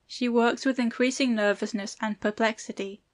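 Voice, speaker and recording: A woman's voice: on the high side, fairly even intonation, moderate pace. A woman reading stage directions as the narrator of an audiobook play.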